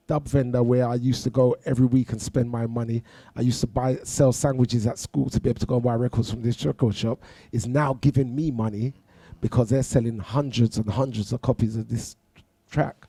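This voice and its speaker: A middle-aged man with a London accent, chatting as a guest on a radio show and telling animated stories about his career. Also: mid-range voice, moderate pace, no echo. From London, England. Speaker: guest DJ and producer. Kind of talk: casual radio interview. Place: radio studio. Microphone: studio mic.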